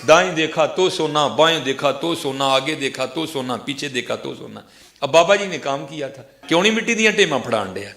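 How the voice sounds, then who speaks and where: A man's voice: on the high side, expressive, fairly quick, fairly bright tone. A man with a Punjabi accent telling a story in a sermon, in an echoey venue with a crowd. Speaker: preacher.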